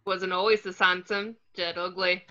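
A woman's voice: on the low side, level tone, moderate pace, bright, forceful tone. A woman playing a cheeky young male wizard prankster, flippant and teasing, in a table read over a muffled video call.

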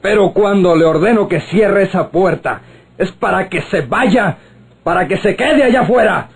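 An irascible Mexican secret police colonel in an old radio drama. His voice is fairly high and husky, with an imperious manner. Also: moderate pace, exaggerated voice. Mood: angry.

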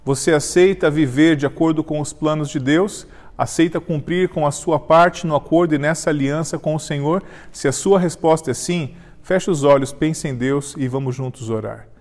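A man in his thirties gives a devotional Bible lesson, earnest and measured, slowing down as he reads scripture aloud. His voice sits a little high for a man. A long reverb trails behind him in the studio. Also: a little mellow. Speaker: Bible study teacher. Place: indoor studio set with armchair and flowers.

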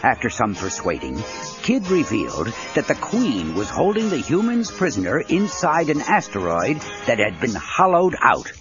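Guy narrating a kids adventure tape, lots of up and down, dull cassette sound. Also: medium pitch. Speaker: narrator of a children's read-along story. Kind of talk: scripted dramatic storybook narration. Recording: dull cassette with music underneath. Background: background music.

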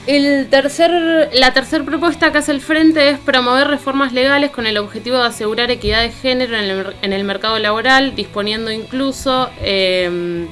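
A woman's voice: high-pitched, moderate pace, few pauses, fairly bright tone. A woman with an Argentine accent, speaking as a columnist in a radio discussion, reading out and commenting. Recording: music playing behind the voice. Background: music.

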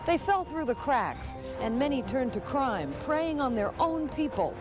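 A woman in her thirties, a reporter, narrating a documentary script and asking interview questions in a measured, clear way. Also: high-pitched, big pitch swings, music in the background.

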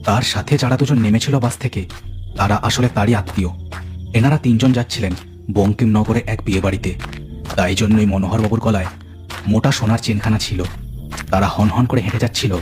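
A man's voice: fairly low pitch, steady tone, brisk, a little mellow, soft background music. A man telling a cartoon ghost story as narrator, with dramatic scripted delivery.

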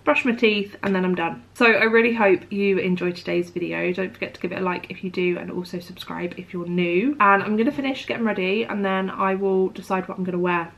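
A young woman chats to her camera at home, bright and upbeat. She has a cold, so her voice comes out nasal and croaky. She fills her talk with "like" and "um". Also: medium pitch, fairly even intonation, a little fast, few pauses, dull audio. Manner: chatty, upbeat, lots of "like" and "um". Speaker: vlogger. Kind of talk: casual chat to camera. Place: bedroom at home.

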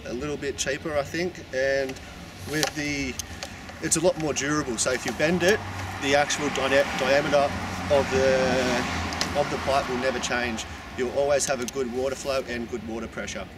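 A man in the trade talks through the pros of copper and plastic pipe in a casual, halting way. His voice sits in the middle range, and clear job-site noise runs behind him. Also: medium pitch, steady tone. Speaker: plumber. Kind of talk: explaining on a job.

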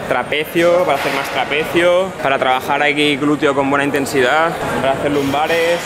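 A man's voice: on the high side, steady tone, normal speed, a crowd in the background. Enthusiastic young man in an echoey gym. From Spain. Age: young adult. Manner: enthusiastic, explanatory, talks straight to camera. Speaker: fitness vlogger. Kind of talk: casual vlog explaining gym exercises. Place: large indoor gym. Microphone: handheld camera. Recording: echoey gym with loud music.

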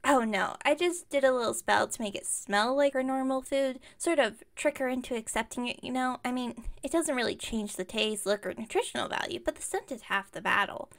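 A woman's voice: on the high side, fairly quick, hardly any pauses, fairly bright tone. A woman playing an enthusiastic, slightly incompetent witch shopkeeper in an audio roleplay, rambling on in a friendly, bubbly way. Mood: chatty, fond. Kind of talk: ASMR roleplay audio, one-sided chat with listener.

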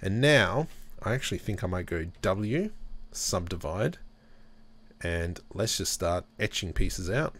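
A man with a very low voice hosts a software tutorial. He talks his way through each step in a relaxed way, thinking aloud as he works at his desk microphone. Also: unhurried. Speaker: tutorial host. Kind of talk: screen-recorded software tutorial.

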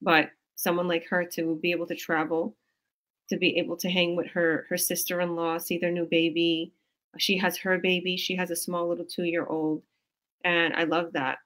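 A woman's voice: lowish voice, flat delivery, moderate pace, a bit bright. A woman, a coach hosting a team video call in a small room at home, talking in a rambling, effusive way with many restarts. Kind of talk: casual motivational chat. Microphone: video call webcam.

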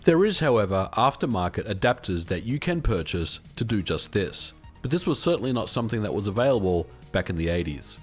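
A man in his forties narrates an explainer in a fairly low voice. His pitch moves in a lively way while his delivery stays measured and instructional. Faint music plays behind him. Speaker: video essay host. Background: background music.

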